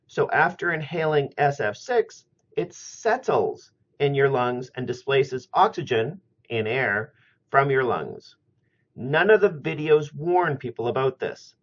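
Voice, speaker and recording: A middle-aged man giving a scripted chemistry lecture at a steady, methodical pace, recorded on a webcam in a small room. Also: medium pitch. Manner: measured, methodical explaining. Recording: slightly muffled lo-fi audio.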